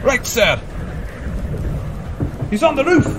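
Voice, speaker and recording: A man playing an excitable, dutiful British police constable in a farcical radio play. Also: very high-pitched, takes it slowly, crowd noise, exaggerated voice. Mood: frantic, shouting. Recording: clearly noisy homemade recording.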